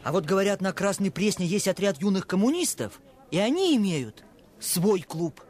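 An actor in a radio play voicing an earnest, gentle schoolboy who explains things eagerly. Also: medium pitch, big pitch swings, moderate pace. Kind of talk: Russian radio play acting.

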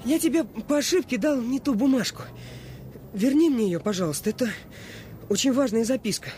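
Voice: medium pitch, animated, a little fast, a little mellow, a little hiss or hum, lightly acted. A performer playing an eager, easily flustered schoolboy in a Russian radio play, speaking with urgency. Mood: urgent, pleading.

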